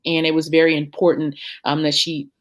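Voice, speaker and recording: A woman in her thirties with a notably low speaking voice answers interview questions. She talks reflectively, pausing on fillers and restarting her sentences as she goes. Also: level tone. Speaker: opera singer, interview guest.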